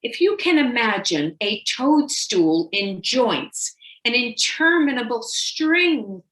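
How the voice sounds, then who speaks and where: A woman reading a first-person story as a confiding, fixated, increasingly paranoid Victorian wife, dramatic and deliberate, with loaded pauses. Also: a little high, big pitch swings, a bit bright, echoey room, heavily acted, low-quality recording. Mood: agitated, exasperated.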